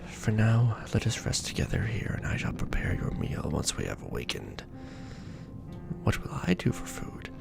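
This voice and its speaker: A man voicing a weary, tender aristocratic vampire lord in an ASMR romance roleplay, murmuring softly and formally, close to the microphone. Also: lowish voice, huge pitch swings, normal speed, exaggerated voice. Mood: tender, apologetic, then hesitant, soothing. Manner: soft, breathy murmuring, formal archaic phrasing. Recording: background music bed.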